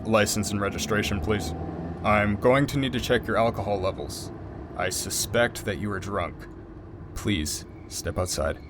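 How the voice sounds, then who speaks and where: A man's voice: lowish voice, a little slow, very noisy. A man playing a stern, formal and officious police officer in an audio drama.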